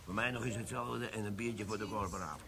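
An actor playing a sly, flirtatious army sergeant in a radio play. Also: male voice, lowish voice, brisk, vocal fry. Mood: bantering. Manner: cheeky, flirtatious, conspiratorial. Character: sly scheming middle-aged army sergeant. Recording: pub chatter behind.

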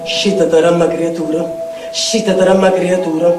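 A woman's voice: on the low side, little pitch movement, normal speed, music in the background, voice pushed down, close to an everyday voice. A woman performing an insistent, eerie night voice in a Neapolitan stage tale, chanting the same warning over and over. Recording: echoey distant stage recording with noise.

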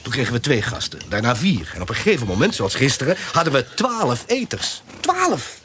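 An actor playing a distrustful, grumbling gentleman of a country house in a Dutch radio play, speaking expressively. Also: medium pitch, huge pitch swings, brisk. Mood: indignant. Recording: noisy radio play recording.

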